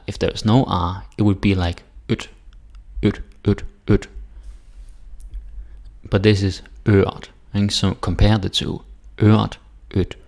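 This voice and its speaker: A man with a Danish accent walks through pronunciation drills, repeating each word for learners. His voice sits very low, with a gravelly edge. He talks slowly and casually. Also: darkish tone. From Denmark. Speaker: language teacher. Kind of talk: pronunciation lesson.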